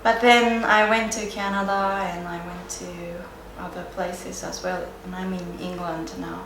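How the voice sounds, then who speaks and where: A woman from Japan with a Japanese accent, a native Japanese speaker giving reflective, unscripted interview answers, hesitant and searching for words with frequent pauses. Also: lowish voice, level tone. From Sendai area, Japan. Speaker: interviewee, yoga and Japanese teacher. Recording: roomy echo, clear background noise.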